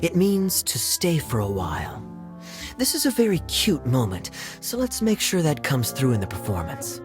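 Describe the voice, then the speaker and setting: An actor playing a suave, gently reassuring young man in a video game, patient and playful. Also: medium pitch, wildly swooping pitch, normal speed, music in the background. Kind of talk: video game cutscene acting.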